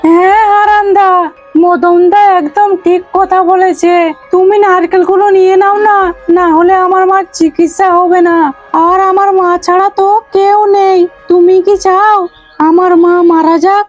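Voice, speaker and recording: Woman acting an extremely high-pitched, pleading village lad. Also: little pitch movement, a little slow, soft background music. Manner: pleading, effusive. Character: needy young village man, pleading and grateful. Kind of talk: animated folk tale acting.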